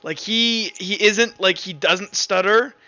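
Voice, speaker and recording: A man's voice: high voice, takes it slowly, pushed and bright, muffled recording. A man chatting casually as a participant in a tabletop roleplaying game.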